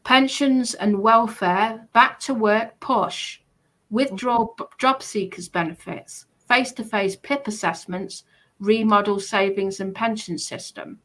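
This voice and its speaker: Bright-voiced British woman reading out points. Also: mid-range voice, a little slow. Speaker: presenter going through party policies. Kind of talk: casual talk, reading aloud from notes.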